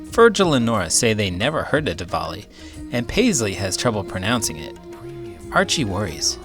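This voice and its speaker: A man reading a children's storybook aloud in an expressive, dramatic way. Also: on the low side, normal speed, over music. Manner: expressive, dramatic delivery with big emotions. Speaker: elementary school teacher reading children's books aloud. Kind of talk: animated storybook read-aloud.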